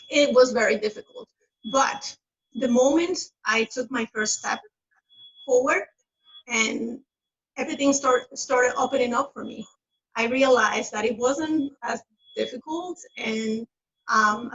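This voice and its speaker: A woman's voice: a little high, vocal fry, fairly bright tone. A woman in her fifties from the Dominican Republic, Spanish her first language, speaking earnestly and searching for words. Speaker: graduate. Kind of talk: video call conversation. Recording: dull lo-fi call audio.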